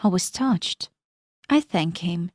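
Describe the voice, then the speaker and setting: A woman's voice: fairly low pitch, expressive, slow pace, darkish tone, no room sound, clean studio sound. A woman narrating a first-person life story in a plain, matter-of-fact reading.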